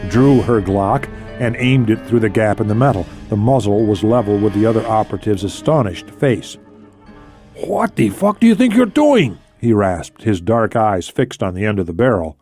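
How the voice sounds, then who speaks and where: A man's voice: on the low side, a little mellow. A man reading scripted thriller narration as an audiobook narrator.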